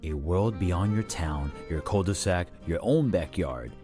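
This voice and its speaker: A man's voice: deep voice, measured pace, over music. A man reading a scripted advertising voice-over as a professional voice actor.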